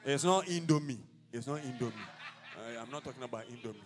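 Ghanaian preacher with a declamatory delivery. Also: male voice, mid-range voice, fast, music in the background. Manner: declamatory, prays in rising bursts then drops to casual asides. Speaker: apostle preaching. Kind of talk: sermon. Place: church service.

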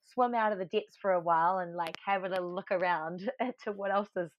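A woman's voice: fairly low pitch, fairly quick, a little hiss or hum. A woman hosting a podcast, chatting in a playful, encouraging way. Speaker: podcast host. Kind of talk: casual podcast chat. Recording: dull, dry sound.

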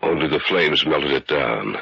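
Anxious, husky monster-besieged man. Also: very deep voice, animated, unhurried, heavily acted. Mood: somber. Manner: dramatic, apprehensive. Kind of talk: 1940s radio horror acting. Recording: muffled old radio recording.

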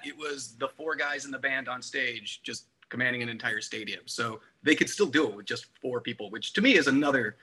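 A man chatting casually about music as a podcast panelist, offering anecdotes and quick interjections. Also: mid-range voice, pushed and bright, poor audio. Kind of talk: casual music album discussion.

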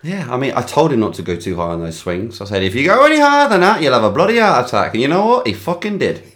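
A man in casual podcast banter, his delivery dry and sarcastic. His pitch rises and falls in lively swings between quips. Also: quick pace. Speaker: podcast co-host.

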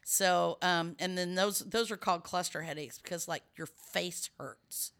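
A woman's voice: on the low side, measured pace, gravelly, fairly bright tone. A middle-aged woman with a scratchy voice, hosting a casual chat and explaining at length with many restarts and fillers.

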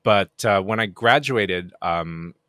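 Deep-voiced Japanese man, relaxed. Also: takes it slowly, dry recording, clean studio sound. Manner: relaxed, self-deprecating, many fillers and restarts. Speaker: podcast guest, game producer. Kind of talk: casual interview chat.

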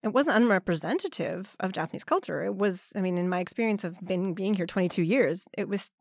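A woman in her forties, raised in Canada, telling her story as an interview guest, lively and often laughing as she acts out quotes. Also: mid-range voice, fairly quick, dry recording, studio quality. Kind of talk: casual conversational interview.

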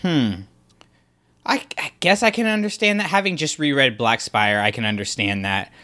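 Male podcast co-host, bright tone, casual rambling chat. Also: mid-range voice, normal speed. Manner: rambling, lots of fillers and restarts.

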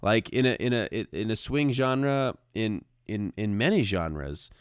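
A man co-hosting a podcast in a casual style, talking haltingly and repeating words as he forms his thoughts. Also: lowish voice, animated, smooth, no room sound, clean studio sound. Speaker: podcast co-host, music arranger.

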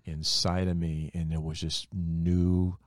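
Very deep-voiced earnest man. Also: slow pace, dry recording, studio quality. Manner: earnest storyteller, lively when excited.

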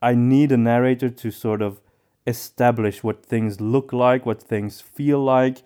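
A man's voice: medium pitch, fairly even intonation, a little slow, warm tone, clean tone. A man speaking as a podcast co-host in a casual discussion, giving his opinions as he thinks aloud.

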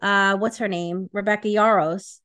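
A woman's voice: mid-range voice, steady tone, normal speed, a bit bright, clear, resonant tone. Woman cohosting a podcast, blunt n opinionated, just chatting, acts out who said what. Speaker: podcast co-host. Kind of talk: casual chat.

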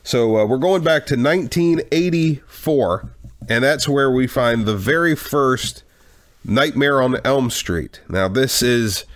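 A man's voice: medium pitch, slow. A man hosting a movie marathon podcast, a little congested from a cold, with wry joking remarks. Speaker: podcast host. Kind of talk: casual chat.

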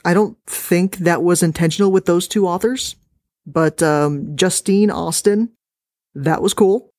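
A woman hosting a podcast in casual chat, bubbly and playful, with sudden jumps in her pitch. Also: unhurried, a little mellow, no echo, studio quality. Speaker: podcast host.

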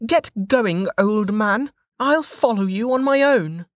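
A woman's voice: medium pitch, animated, slow pace, dry recording, clean studio sound, voice pushed up. A narrator voicing a brash, cocky young woman fighter who talks in a bossy, taunting way. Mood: eager, defiant.

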